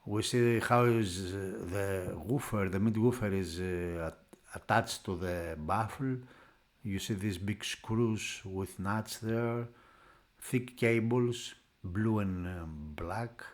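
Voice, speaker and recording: A man who is not a native English speaker, narrating a product unboxing off the cuff in halting phrases. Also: lowish voice. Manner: halting, piecing phrases together. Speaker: product reviewer.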